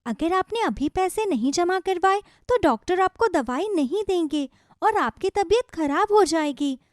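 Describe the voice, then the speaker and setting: Woman acting little girl, very high pitch, animated, kind, polite, eager, storybook. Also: a little slow, no room sound, clean studio sound. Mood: kind, earnest. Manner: earnest, polite, childlike.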